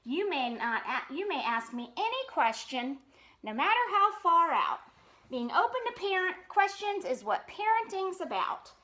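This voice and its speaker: A woman's voice: extremely high pitch, sing-song, unhurried, bright, forceful tone, full character voice. A woman voicing a confident, lecturing father bear in a children's storybook. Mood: proudly confident. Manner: hearty, self-assured, lecturing.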